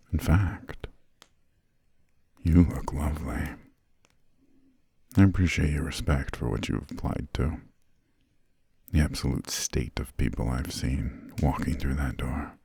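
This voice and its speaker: A man playing an imperious, centuries-old vampire lord in an ASMR roleplay, courteous but condescending, with formal, measured, soft speech and a breathy, gravelly voice close to the microphone. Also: a bass voice, dry recording, full character voice. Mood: cool, appraising. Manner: slow, measured, formal, haughty, drawn-out pauses. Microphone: close, dry studio microphone.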